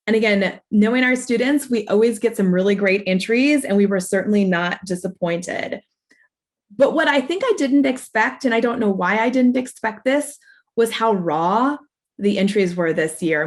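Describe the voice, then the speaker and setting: A woman professor presenting at an online awards ceremony, speaking informally in an earnest, explanatory way. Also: mid-range voice. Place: online video call.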